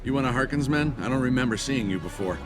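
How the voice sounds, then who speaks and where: A man's voice: mid-range voice, expressive, fast, music in the background, natural voice. A man playing a guilt-ridden, evasive traitor in a video game, with an edgy, haunted manner. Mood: wary, irritated. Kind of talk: video game dialogue acting.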